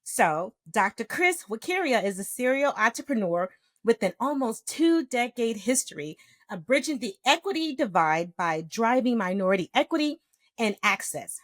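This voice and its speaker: Bright-voiced woman hosting a podcast. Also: fairly high pitch, big pitch swings, normal speed, no echo. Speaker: podcast host. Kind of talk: scripted podcast intro and interview.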